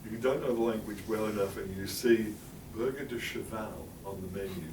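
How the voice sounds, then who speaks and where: English male presenter, middle-aged, dry humour, low-ish, heard off-mic in a roomy hall. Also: brisk, recorded from a distance, background noise. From England. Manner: chatty, wry, many fillers and laughs. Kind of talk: illustrated library talk. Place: public library meeting room.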